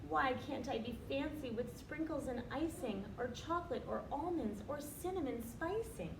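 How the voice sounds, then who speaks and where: Woman voicing a plaintive, high-pitched sad little cookie. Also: animated, normal speed. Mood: wistful, envious. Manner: plaintive, wistful sing-song lament. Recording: roomy, distant, noisy recording.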